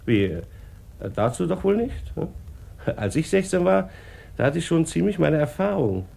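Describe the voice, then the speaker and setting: An actor playing a middle-aged, oily charmer in a 1950s German radio play, with an unctuous, insinuating way of talking. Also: male voice, a little high, very animated, normal speed, gravelly, warm tone, heavily acted. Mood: leering, insinuating. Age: 40s. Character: oily, flattering middle-aged con man and suitor.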